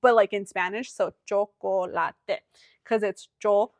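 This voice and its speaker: A woman's voice: medium pitch, a little slow, no room sound. Chatty young woman, dry close sound. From Texas. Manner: animated, chatty, jumps in often. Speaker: podcast co-host. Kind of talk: casual chat.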